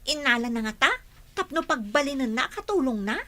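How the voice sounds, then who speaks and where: Gossipy, deferential Ilocano maid, played by a woman for radio comedy drama; very high, forward, bright tone. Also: expressive, a little fast. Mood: questioning. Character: deferential, gossipy young female housemaid.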